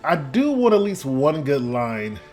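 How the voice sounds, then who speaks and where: A man's voice: mid-range voice, very animated, unhurried, darkish tone. A man giving casual, rambling play-by-play of a video game with playful slang. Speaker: gaming video host. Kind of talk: casual gameplay commentary. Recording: game music behind voice. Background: game music.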